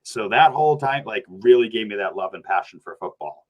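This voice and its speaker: A man, a podcast guest chatting casually, animated and rambling, laughing often. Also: lowish voice, fairly quick. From New Hampshire. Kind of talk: casual sports chat.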